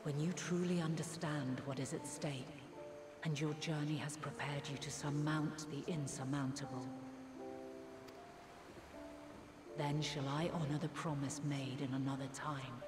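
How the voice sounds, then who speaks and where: A deep-voiced ancient goddess acted by a woman, solemn, serene and weary. Her measured, archaic speech rings with echo over a loud musical score, as in a fantasy game cutscene. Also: fairly even intonation, natural voice. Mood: solemn, foreboding. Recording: reverberant voice under loud music.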